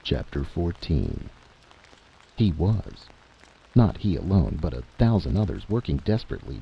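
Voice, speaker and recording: A man with a gravelly voice reading a dramatic scripted story as an audiobook narrator. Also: low voice, highs cut off, a little hiss or hum.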